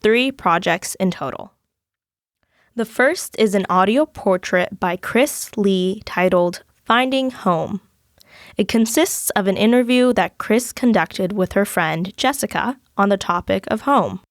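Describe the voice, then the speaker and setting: A young woman, a student host, reading a scripted podcast introduction in a measured way. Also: mid-range voice, no room sound, studio quality. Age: young adult.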